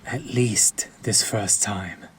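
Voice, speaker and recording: A man playing a very slow-talking, possessive vampire lord. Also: fairly low pitch, fairly bright tone, poor audio. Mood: predatory, silky. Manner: slow, deliberate, domineering, often dropping into a breathy whisper. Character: sadistic, possessive male vampire lord, silkily commanding. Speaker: voice actor in an audio roleplay. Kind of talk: intimate scripted audio roleplay addressed to the listener. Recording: clear background ambience noise.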